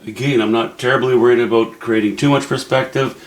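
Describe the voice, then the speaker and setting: A man's voice: mid-range voice, fairly even intonation, a little fast, echoey room. A man with a Canadian accent, thinking aloud in a wry, musing way as he walks through a painting demo. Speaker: painting instructor. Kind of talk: casual painting demo narration.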